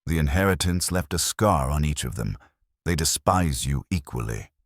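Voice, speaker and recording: A man's voice: very deep voice, expressive, moderate pace, no echo, clean studio sound. A man voicing an imperious, blunt male detective who speaks in pompous, formal pronouncements in a mystery audiobook. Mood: stern. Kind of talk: mystery audiobook character reading.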